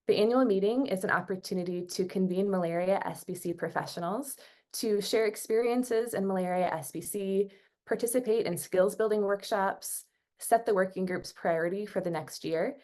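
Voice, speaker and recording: A woman's voice: medium pitch, normal speed, a little mellow. Formal woman reading meeting announcements. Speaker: working group coordinator giving updates. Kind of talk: scripted announcements on a conference call. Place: online conference call.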